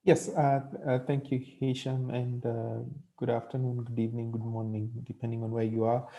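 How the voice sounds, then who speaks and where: A man explaining a program on an online panel in measured, halting phrases. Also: medium pitch, monotone, warm tone.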